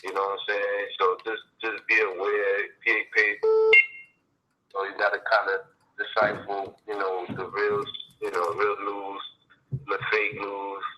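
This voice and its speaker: A man's voice: fairly low pitch, completely flat delivery, measured pace, background noise. A man calling in to a show, talking laid-back and profane. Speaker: caller. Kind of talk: phone-in chat. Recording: dull phone line.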